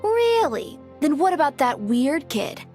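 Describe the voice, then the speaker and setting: A woman's voice: high-pitched, very animated, unhurried, a little mellow, faint music behind. A woman voicing a curious, caring townswoman in casual conversation in a video game. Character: concerned, curious townswoman chatting with a coworker.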